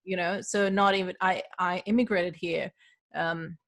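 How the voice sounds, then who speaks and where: A woman's voice: fairly low pitch, steady tone, a little slow. Chatty woman from Pakistan, laughing guest. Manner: chatty, laughs often, many restarts and fillers. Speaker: podcast guest. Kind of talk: casual interview chat.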